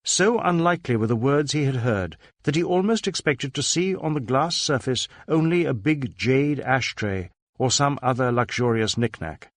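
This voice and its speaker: A man's voice: mid-range voice, expressive, moderate pace, few pauses, no echo, studio quality. A man reading a literary crime story as a scripted narration. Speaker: audiobook narrator.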